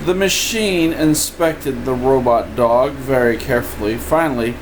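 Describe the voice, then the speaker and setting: An older man, a grandfather, reading a picture book aloud in an expressive, informal way with playful asides. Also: medium pitch, a little slow. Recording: steady room noise, air conditioning hum.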